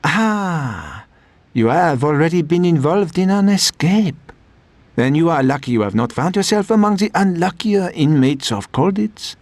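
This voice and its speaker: A courteous French officer in a video game. His formal speech is highly animated and sits a little high for a male, recorded dry and close. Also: unhurried, dry recording. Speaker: prisoner barrack officer. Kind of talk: video game cutscene acting.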